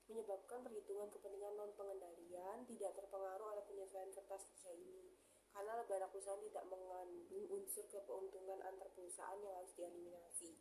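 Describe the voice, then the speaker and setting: A young woman gives a student presentation in a mellow, relaxed tone. She reads in a halting way and stumbles over English terms. The room echoes around her, with faint noise behind. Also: mid-range voice. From Indonesia. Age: young adult. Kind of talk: class presentation, reading accounting slides aloud. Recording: roomy echo, faint background noise.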